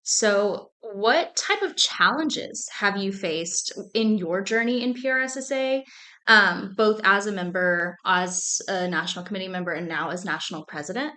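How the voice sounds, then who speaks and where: A woman's voice: mid-range voice, normal speed, sharp tone. A young woman hosting an interview, chatty and upbeat with lots of filler words. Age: young adult. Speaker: interviewer, host. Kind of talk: casual interview chat.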